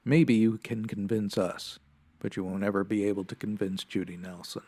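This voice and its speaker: A man playing a stern, no-nonsense police sergeant with clipped, deadpan authority in 1950s radio detective style. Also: fairly low pitch, normal speed, slight background noise, lightly acted. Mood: dry, commanding.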